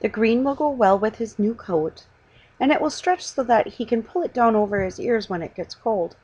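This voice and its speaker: A woman's voice: fairly high pitch, normal speed, warm tone, husky, lo-fi sound, voice pushed up. A woman voicing a kindly, practical, slightly anxious motherly shopper in a children's storybook. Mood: hurried reassurance.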